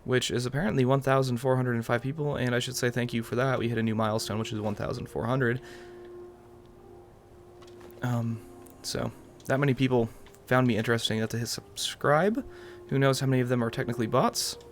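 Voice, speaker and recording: A man's voice: mid-range voice, brisk, a little hiss or hum. A man giving casual, rambling commentary over a roleplay game playthrough in a wry manner. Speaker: gaming YouTuber.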